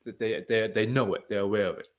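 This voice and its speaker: A man hosting a podcast, summarizing and asking halting, thoughtful questions. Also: lowish voice, steady tone, dull audio. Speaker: podcast host. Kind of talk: interview questions.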